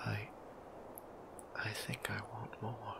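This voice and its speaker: A man playing a shy, devout vampire priest in an ASMR roleplay, speaking in a hesitant, gently formal, breathy whisper. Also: on the low side, monotone, takes it slowly, very noisy. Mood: breathless longing.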